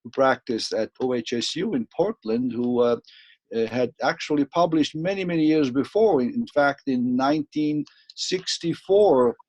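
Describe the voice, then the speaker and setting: A man's voice: mid-range voice, expressive, darkish tone, dry recording. Older Swiss-accented man lecturing over slides. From Switzerland. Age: 60s. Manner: halting, with many fillers and restarts. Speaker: cardiologist guest. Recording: dry, muffled lo-fi sound.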